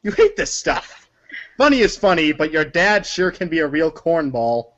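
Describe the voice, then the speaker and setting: A man with a fairly high voice narrates a story aloud. His delivery is dramatic and emphatic, like staged read-along theater. The recording sounds slightly dull and lo-fi. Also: sing-song, moderate pace, a bit bright. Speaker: narrator of a group reading.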